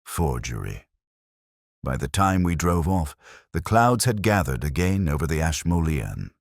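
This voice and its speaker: Very deep, brooding male narrator. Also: big pitch swings, gravelly, no room sound, clean studio sound. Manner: measured, literary, brooding. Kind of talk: scripted first-person detective story narration.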